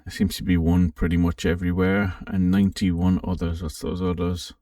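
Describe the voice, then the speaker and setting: A man hosting a casual, informal software walkthrough for an amateur radio channel, thinking aloud a little hesitantly as he goes. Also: low-pitched, level tone, normal speed, clean studio sound. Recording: roomy, distant microphone.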